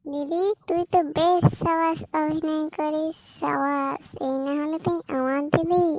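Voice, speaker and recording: A woman's voice: high voice, fairly even intonation, unhurried, a little mellow, no room sound, poor audio. A woman voicing a cheeky, scheming young sister in a cartoon skit, with a taunting, theatrical delivery. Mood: admiring.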